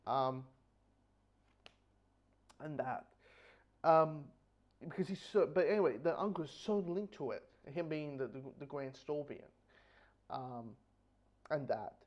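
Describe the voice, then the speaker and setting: A man's voice: a little high, unhurried, long pauses. A man giving a casual spoken comic book review, rambling and repeating phrases as he goes. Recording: dull, lo-fi audio.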